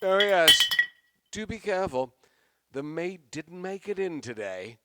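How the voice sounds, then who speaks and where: A man's voice: high-pitched, sing-song, a little slow, heavy background noise. A man playing a pompous, gallant, drunken old stage actor in a radio play. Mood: roguish. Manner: pompous, theatrical.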